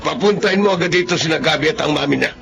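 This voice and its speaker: Gravelly, stern outraged father. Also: a little high, rapid-fire, music in the background. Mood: vengeful. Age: middle-aged. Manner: demanding, indignant. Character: outraged, stern, protective middle-aged father. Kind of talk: radio drama acting.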